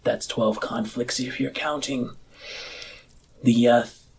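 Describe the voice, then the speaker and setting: A man playing a courteous, earnest politician campaigning for power in a roleplayed audio drama, speaking formally and deliberately. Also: mid-range voice, fairly even intonation, gravelly, slight background noise. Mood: grave, probing. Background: music.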